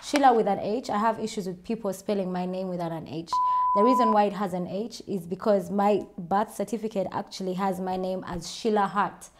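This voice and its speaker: A woman's voice: medium pitch, a little fast, background noise. A woman with a Ugandan accent, chatting casually and self-assuredly as she answers viewer questions in a vlog. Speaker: vlogger.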